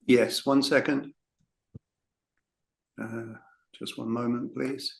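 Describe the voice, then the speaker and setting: A man's voice: mid-range voice, flat delivery, slow pace, gravelly. A man hosting an online meeting, speaking briefly and politely while handling the call. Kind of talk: casual meeting talk.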